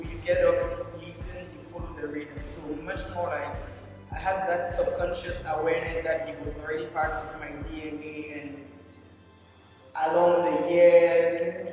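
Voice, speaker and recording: A woman, a movement therapist who chats casually in an interview and drops in "like" and "yo". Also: on the low side. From Cameroon. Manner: relaxed and chatty, drops in "like" and "yo". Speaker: movement therapist and yoga instructor. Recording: echoey hall, distant mic, music behind.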